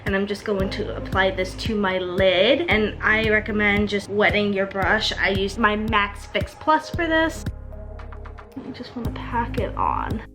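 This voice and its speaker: A woman hosts a tutorial in a casual, chatty style. Her voice sits at an average pitch for a woman. Music plays clearly behind her as she explains things step by step. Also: a little slow. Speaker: makeup tutorial host.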